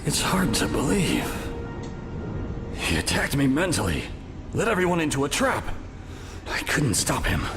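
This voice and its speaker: An actor playing an earnest, alarmed starship officer in a dramatic sci-fi game. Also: male voice, mid-range voice, huge pitch swings, measured pace, pauses often, over music. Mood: shaken, reflective.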